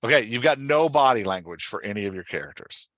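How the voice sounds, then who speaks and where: A man's voice: mid-range voice, expressive, quick pace, a bit bright, no echo, poor audio. A man hosting a podcast and coaching in a casual, direct, instructive way, often checking that he is understood. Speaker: podcast host coaching an author on dialogue. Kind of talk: casual one-on-one coaching talk.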